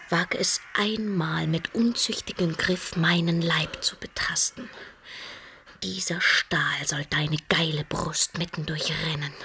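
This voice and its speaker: A woman's voice: fairly low pitch, gravelly, sharp tone, a little hiss or hum, exaggerated voice. An actress playing a proud, scornful young noblewoman in a German radio drama, defiant and intense. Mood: fierce, hushed.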